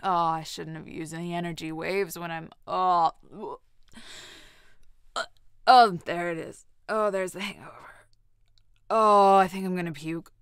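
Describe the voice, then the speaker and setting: A woman playing a big, gruff, hungover cat-beast warrior in an audio drama, blunt and grumbling. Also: on the low side, fairly even intonation, a little slow, long pauses, a bit bright, no room sound, clean studio sound. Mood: groaning, irritable.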